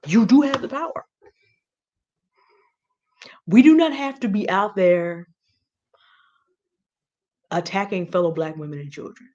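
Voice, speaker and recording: A woman's voice: mid-range voice, a little slow, pauses often, warm tone. A woman co-hosting an online talk, giving informal commentary straight to viewers, emphatic and confiding, with repeated words for effect. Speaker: online show co-host. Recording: dull, lo-fi audio.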